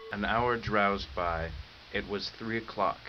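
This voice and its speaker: A man narrating a 1950s-style radio drama in a measured, deliberate, ominous manner. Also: on the low side. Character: measured, ominous male storyteller of eerie tale. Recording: dull lo-fi radio recording, clear hiss.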